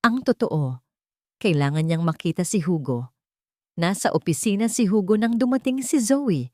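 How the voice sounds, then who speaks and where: A woman reading a scripted romantic drama as a narrator, in a measured and earnest storytelling delivery. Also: medium pitch, animated, mellow, dry recording, studio quality.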